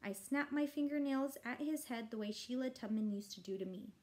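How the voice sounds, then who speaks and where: A woman's voice: on the high side, fairly quick, mellow. A woman narrating a children's story expressively, like a teacher reading aloud.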